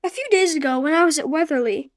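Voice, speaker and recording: A woman's voice: sits high, quick pace, natural voice. A woman voicing an eager young orphan boy. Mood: earnest. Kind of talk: radio play acting.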